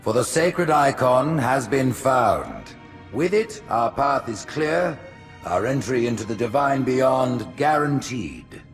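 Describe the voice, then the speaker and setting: Smooth scheming alien priest. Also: male voice, mid-range voice, lively intonation, faint music behind. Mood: oratorical. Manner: measured, oratorical, rallying. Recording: game score behind.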